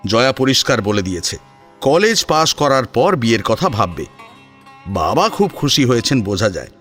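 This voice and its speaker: A man narrating a scripted family story as a dramatic storyteller. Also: mid-range voice.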